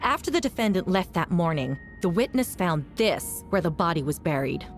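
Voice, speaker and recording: A woman playing a composed, firm female knight prosecutor in a video game, speaking formally and deliberately. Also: on the low side, very animated, soft background music. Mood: firm, resolute.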